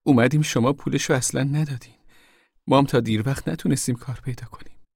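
A man's voice: mid-range voice, animated, a little mellow, breathy edge, no echo, studio quality, voice pushed up. A man voicing a small, timid, ashamed mother in a story, pleading in a meek, whining way.

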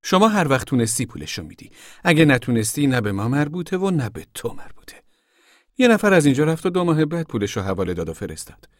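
A man voicing a stern, indignant committee woman in a story, sharp, scolding and commanding. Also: mid-range voice, big pitch swings, no room sound, studio quality, voice pushed up, natural voice. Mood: brisk, ordering.

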